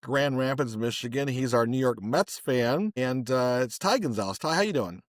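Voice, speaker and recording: A man's voice: medium pitch, animated, moderate pace, no echo, studio quality. A middle-aged man hosting a family podcast, talking casually and rambling a bit with fillers as he introduces a guest. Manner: rambling, friendly, many fillers and restarts. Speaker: podcast host.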